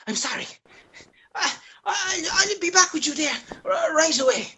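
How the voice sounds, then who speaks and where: A woman playing a flustered, high-voiced hapless man. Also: expressive, measured pace, pushed and bright, exaggerated voice. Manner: hesitant, stammering. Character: flustered, hapless man caught with his lover. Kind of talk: radio play acting.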